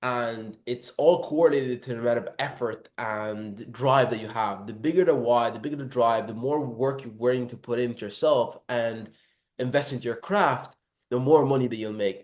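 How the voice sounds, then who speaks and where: A man hosting an interview, asking encouraging questions and sprinkling in religious phrases. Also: medium pitch. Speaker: interviewer, host. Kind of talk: casual interview.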